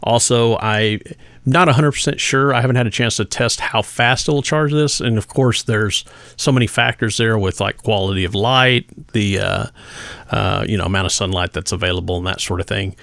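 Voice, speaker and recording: A man giving a casual, conversational product demonstration as a gadget reviewer, speaking hesitantly with pauses mid-phrase. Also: on the low side, creaky, a bit bright, dry recording.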